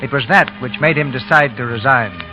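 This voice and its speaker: A man's voice: mid-range voice, brisk, pushed and bright, dull audio, soft background music. A man hosting and narrating a 1930s radio drama broadcast in a formal, polished, declamatory scripted style.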